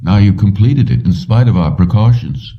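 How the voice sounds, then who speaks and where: A man's voice: lowish voice, animated, moderate pace, pitched above the performer's own voice. A man voicing an older male company boss in a story, strained and wary, on a dull recording. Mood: wary questioning. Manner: tense, pressed delivery. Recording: muffled, distant-sounding dull recording.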